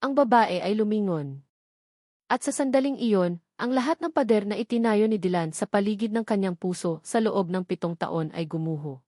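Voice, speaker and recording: A Filipino woman narrates a dramatic scripted love story. Her voice sits at a middle pitch for a woman, and the recording is dry, with hardly any room sound. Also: a little mellow, no room sound.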